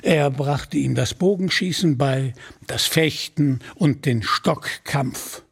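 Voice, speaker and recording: A man narrating a scripted radio play in a measured, deliberate storytelling manner, with a husky voice. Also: medium pitch, soft background music.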